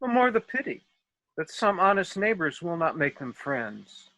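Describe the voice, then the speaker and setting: Man as a foolish courteous workman, self-assured, animated swoops, close dry sound, comic stage delivery, Shakespeare read. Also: high voice, moderate pace, no echo, heavily acted, poor audio. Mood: bemused, cocky. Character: foolish, self-assured rustic workman, cheerfully polite to fairies. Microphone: video call.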